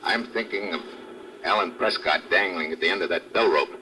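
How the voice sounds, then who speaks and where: An actor playing a tough, wry American undercover agent in hard-boiled 1950s radio drama style, on a noisy old recording. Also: male voice, low-pitched, brisk, crowd noise, natural voice. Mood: determined.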